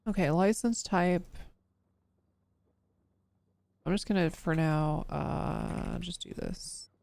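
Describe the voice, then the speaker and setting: A woman's voice: fairly low pitch, takes it slowly, gravelly, darkish tone, no room sound. A tired woman live-streaming while coding, who swears freely and mutters to herself as she works, her voice worn down. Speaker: livestreamer.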